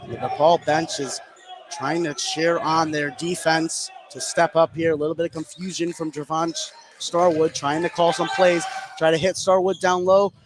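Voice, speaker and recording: Male radio play-by-play commentator, slightly high-pitched, tracking action in short phrases; noisy live gym broadcast. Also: flat delivery, moderate pace.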